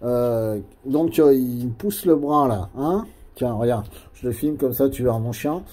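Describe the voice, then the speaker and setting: Mellow, halting man in casual radio chat. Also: mid-range voice. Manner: hesitant, halting, repeats phrases. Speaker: amateur CB radio operator.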